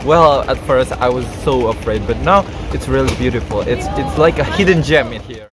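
A man's voice: mid-range voice, normal speed, over a noisy crowd. A young man from Indonesia answering a street interview outdoors, hesitant and searching for words. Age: young adult. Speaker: student delegate interviewee. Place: outdoor city square. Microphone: handheld camera close-up.

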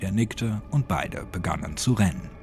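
A man's voice: sits low, lively intonation, normal speed, background noise. A man narrating a horror story as a dramatic audiobook reading. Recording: music behind the voice. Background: music.

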